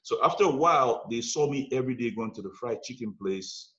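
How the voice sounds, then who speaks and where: A man casually telling long personal stories, animated and conversational, often checking in with "you know what I'm saying.". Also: mid-range voice, brisk. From United States. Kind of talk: casual storytelling chat. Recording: roomy, dull lo-fi podcast sound.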